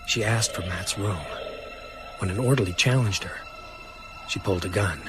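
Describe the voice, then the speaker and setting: An actor playing a sombre survivor recounting a horror story in measured, grave first-person narration. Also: male voice, fairly low pitch, over music, close to an everyday voice. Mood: sombre, haunted.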